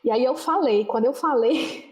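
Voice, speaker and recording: A young adult woman, a guest in a casual interview, telling travel stories in a relaxed, rambling way with frequent tag questions. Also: high voice, normal speed. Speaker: guest, social worker.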